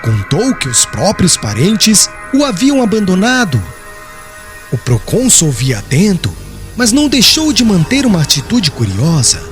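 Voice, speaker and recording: A man reading scripted audiobook narration as an expressive, solemn storyteller. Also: sits high, unhurried. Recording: music behind the voice.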